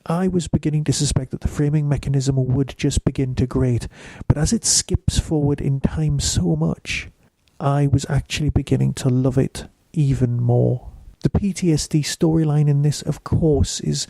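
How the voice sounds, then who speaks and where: A man delivers a scripted review as a podcast host. His voice is mellow and relaxed at a middling pitch, recorded dry and close. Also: moderate pace, vocal fry, hardly any pauses, dry recording, low-quality recording.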